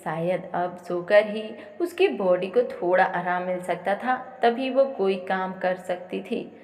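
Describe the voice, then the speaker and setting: Slow-paced woman storyteller with heavy echo. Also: mid-range voice. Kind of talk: dramatic audio-story narration. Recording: echoey, long reverb on voice.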